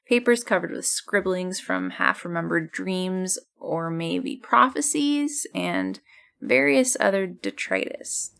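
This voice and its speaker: A woman playing a mysterious, tender host of an otherworldly late-night radio show, speaking in a measured, cryptic, intimate monologue. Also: on the low side, gravelly, fairly bright tone, exaggerated voice. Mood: wry, uneasy. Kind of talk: scripted monologue in a fiction podcast styled as radio.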